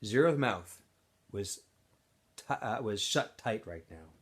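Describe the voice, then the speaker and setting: A man reading a storybook aloud to students in an animated, expressive way, with casual asides to his listeners. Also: on the low side, slow pace.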